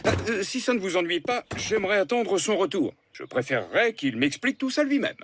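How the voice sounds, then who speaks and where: A man's voice: sits high, sing-song, brisk. A man voicing a polite, formal cartoon lawyer who gets flustered and protests. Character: polite, flustered male lawyer, easily indignant. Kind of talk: French animated series dubbing.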